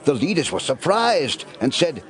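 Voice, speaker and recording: A man's voice: on the high side, very animated, normal speed. A man narrating an audio Bible drama in a scripted storytelling style.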